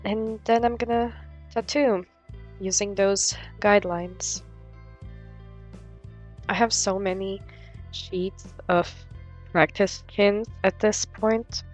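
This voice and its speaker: A woman's voice: medium pitch, steady tone, slow pace, pauses often, faint music behind. A young woman narrating a casual vlog in an informal, sweary way. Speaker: vlogger, artist.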